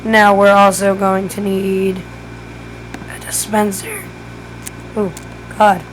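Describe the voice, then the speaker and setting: A woman's voice: mid-range voice, flat delivery, slow, slight background noise, rough recording. A woman who is losing her voice gives a casual step-by-step game tutorial, thinking aloud as she builds. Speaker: gaming tutorial creator.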